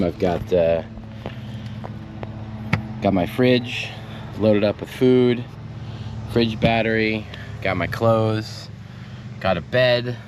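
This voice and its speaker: A man's voice: deep voice, background noise. A young man with a sore throat, losing his voice, talking casually to his own camera in a travel vlog, thinking aloud with lots of fillers. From Texas. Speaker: vlogger. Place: pickup truck cab while driving. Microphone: phone or action camera, self-filmed. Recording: loud music over the voice. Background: music bed.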